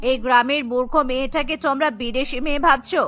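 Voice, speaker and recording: A woman's voice: sits high, fairly even intonation, fast, fairly bright tone, voice pushed down. A woman voicing a snobbish, scolding middle-aged mother-in-law in a cartoon. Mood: indignant, scornful. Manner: scolding, disdainful. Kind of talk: cartoon character acting.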